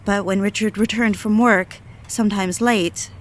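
A woman's voice: mid-range voice, fairly even intonation, measured pace, natural voice. A woman playing a lonely, obsessive diarist in an audio drama, with a confessional, literary way of speaking. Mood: lonely, brooding. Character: lonely, bored, obsessive woman confiding to her diary. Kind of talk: dramatic first-person diary narration.

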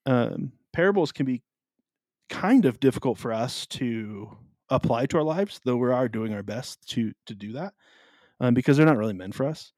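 Guy w a deep voice that carries, laid back bible podcast chat, dry sound. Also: animated, normal speed, a little mellow, no echo. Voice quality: deep, carrying voice. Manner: easygoing, explains with many ums. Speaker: podcast co-host. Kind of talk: casual podcast Bible discussion.

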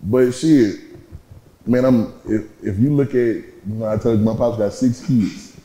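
Deep-voiced dude, chill slangy rapper talk, dark tone, roomy echo. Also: male voice, unhurried. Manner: laid-back, slangy storytelling. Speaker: rapper guest. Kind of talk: casual interview. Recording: echoey room.

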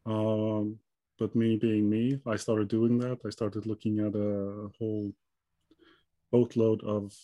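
A man's voice: lowish voice, level tone, slow pace, mellow, no echo. A man from Iceland in his thirties, answering interview questions in a hesitant way, with many ums and restarts. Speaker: guest.

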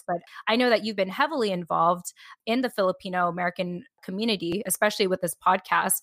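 A woman hosting a podcast, talking in a relaxed, rambling way with many "like" fillers. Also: mid-range voice, no room sound, clean studio sound. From born on a US military base. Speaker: podcast host. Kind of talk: casual conversational podcast.

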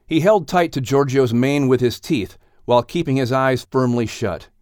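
A man's voice: mid-range voice, moderate pace, darkish tone, clear, resonant tone, no echo, studio quality. A man reading scripted narration for a children's audiobook.

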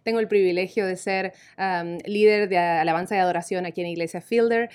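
Woman, worship leader, warm, enthusiastic, conversational. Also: fairly low pitch, fairly even intonation, a little fast, clear, resonant tone. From Argentina. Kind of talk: casual podcast conversation.

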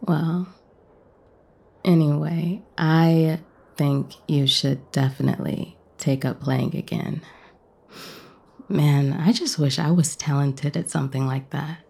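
A woman acting a wry, self-deprecating, flirtatious character in an audio romance, with a casual, hesitant, creaky delivery. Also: sits low, fairly even intonation, takes it slowly, long pauses, a little mellow, exaggerated voice. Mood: teasing, self-deprecating.

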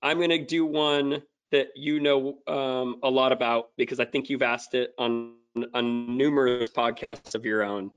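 A man's voice: mid-range voice, steady tone, measured pace, hardly any pauses, muffled recording, faint music behind. A man co-hosting a running podcast, easygoing and upbeat, steering the questions. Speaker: podcast co-host. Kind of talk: casual podcast chat.

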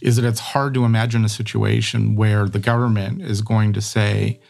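Gravelly man rambling in conversation. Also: fairly low pitch, little pitch movement, normal speed, a little mellow. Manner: rambling, many fillers and restarts. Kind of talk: conversational podcast discussion.

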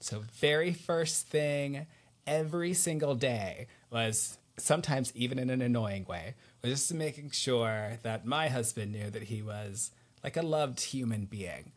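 A man's voice: medium pitch, unhurried. A man hosting a podcast in a casual chat, supportive and encouraging toward the person he talks with. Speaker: podcast host.

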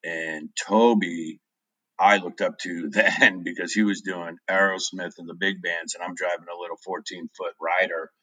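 A man's voice: deep voice, little pitch movement, moderate pace, bright, forceful tone, no room sound, poor audio. A man in his forties from New Hampshire, telling his story in a loose, rambling way with lots of ums. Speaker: guest. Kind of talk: casual interview chat.

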